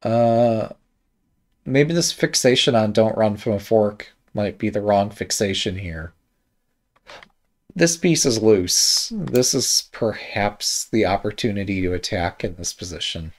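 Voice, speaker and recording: Slow, musing man thinking aloud. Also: lowish voice, lively intonation. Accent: American Midwest. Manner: hesitant, musing, many ums and trailing thoughts. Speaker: shogi game reviewer. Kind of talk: casual game commentary while thinking aloud.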